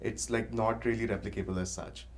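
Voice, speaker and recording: A man talking casually in a halting way, with frequent filler words as he explains his thoughts. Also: fairly low pitch, steady tone. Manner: halting, filler-heavy, says "like" constantly. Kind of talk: casual discussion.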